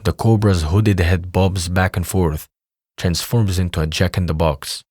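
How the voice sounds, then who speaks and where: A man's voice: low-pitched, steady tone, measured pace, a little mellow, dry recording, studio quality. A man reading scripted scene narration for an audio drama.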